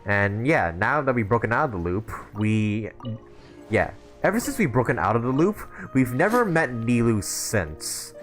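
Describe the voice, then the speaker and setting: A man's voice: medium pitch, big pitch swings, normal speed, fairly bright tone. A man streaming a video game live, chatting casually and often rephrasing himself as he comments. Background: game music.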